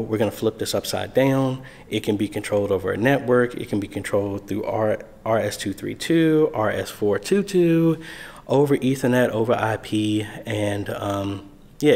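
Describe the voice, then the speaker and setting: A man in his thirties explaining a camera install in a church sanctuary while he works, talking through each step, recorded on a phone held at arm's length. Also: lowish voice. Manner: relaxed, explanatory, thinking aloud while working. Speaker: church video system installer. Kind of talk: casual how-to vlog. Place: church sanctuary, large room, indoors. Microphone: handheld phone camera, arm's length.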